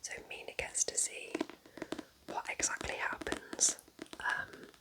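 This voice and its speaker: A woman whispers breathily in a gentle, chatty ASMR roleplay as a kind witch at home in her cabin. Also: slow, rough recording. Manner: gentle, chatty, informal asides.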